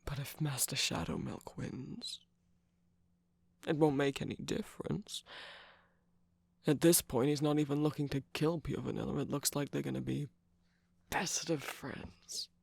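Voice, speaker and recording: A man voicing a smug, teasing villain's henchman in a romantic ASMR roleplay, breathy and gravelly, drawling and dropping into whispers close to the mic. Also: mid-range voice, steady tone, measured pace, long pauses, no room sound, full character voice. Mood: resigned, smug. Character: teasing, jaded, smug male villain's minion cookie. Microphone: close microphone, dry sound.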